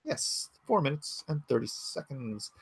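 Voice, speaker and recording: A man's voice: medium pitch, very animated, a little slow, gravelly, slightly rough, dry recording. A man in his forties hosting a casual livestream from his home computer, rambling and thinking aloud as he answers chat comments. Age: 40s. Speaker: livestream host.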